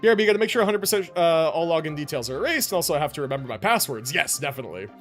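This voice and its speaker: A man's voice: fairly high pitch, lively intonation, very fast, fairly bright tone, faint music behind. A man casually commentating a live game stream, chatting with viewers in rambling, informal gamer slang.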